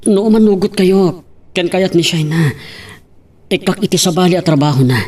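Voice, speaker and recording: A man's voice: high voice, moderate pace, a little mellow. A man playing a devoted, persistent young male suitor in an Ilocano-language radio drama. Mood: earnest, reassuring.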